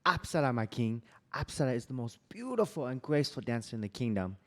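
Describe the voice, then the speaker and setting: Table read: male actor as deferential Khmer royal counsellor, medium pitch, stage play. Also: very animated, quick pace, a bit bright. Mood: respectful.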